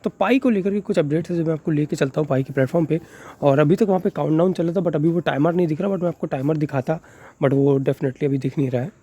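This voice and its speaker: A man's voice: a little high, dark tone. A man with a sore throat, casually explaining things to viewers in a chatty way, mixing English into his talk with many fillers. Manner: Hindi-English mix, chatty, frequent fillers. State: sore throat, speaking softly. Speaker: crypto video creator.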